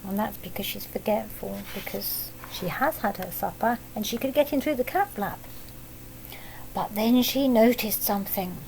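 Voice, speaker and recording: Slow-paced British woman reading a children's story. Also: on the high side, gravelly, slight background noise, poor audio. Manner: simple child-directed talk with tag questions. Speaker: primary school teacher reading a picture book aloud. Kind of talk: children's storytelling with explanatory asides.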